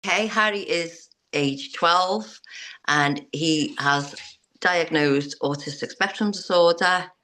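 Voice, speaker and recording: Woman, low voice, earnest, halting speech. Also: bright, forceful tone, a little hiss or hum. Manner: earnest, hesitant, many restarts. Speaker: interview guest, parent. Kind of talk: personal story in interview.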